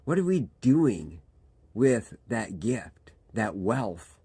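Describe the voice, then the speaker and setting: A man, a pastor giving a reflective devotional talk with pauses and restarts. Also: lowish voice, big pitch swings, gravelly, warm tone, breathy edge. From Michigan, USA. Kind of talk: devotional sermon.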